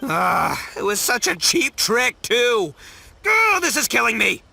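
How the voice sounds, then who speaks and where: A man's voice: sits high, animated, takes it slowly, vocal fry, bright, forceful tone, a little hiss or hum, exaggerated voice. A man voicing a brash, dim but big-hearted young gang boss in a video game, bombastic and slangy. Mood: dejected. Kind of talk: animated video game voice acting.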